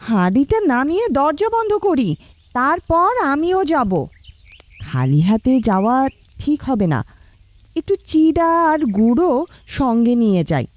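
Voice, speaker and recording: Cartoon village mother, voiced by a woman, high animated voice, encouraging, practical, dry close sound. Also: a little slow, no room sound. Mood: caring, encouraging. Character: kindly, practical village mother advising her child. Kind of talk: animated moral story dialogue.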